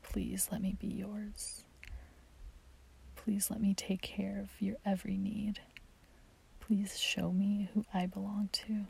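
An actress as a lovestruck woman in an intimate audio roleplay, speaking straight to the listener. Her voice is very breathy and whispered, with a soft, dark tone and a crackle of fry. She sounds hesitant, submissive and pleading. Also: medium pitch, completely flat delivery, slow, pauses often, full character voice. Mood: pleading, longing. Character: lovestruck submissive woman confessing longing to a friend.

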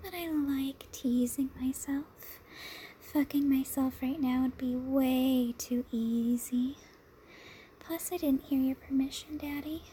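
Slow, high-pitched woman whispering intimately. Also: fairly even intonation, a little hiss or hum. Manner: breathy whispering mixed with soft murmured speech; sultry, drawn-out, intimate delivery. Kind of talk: erotic audio roleplay, intimate monologue addressed to listener.

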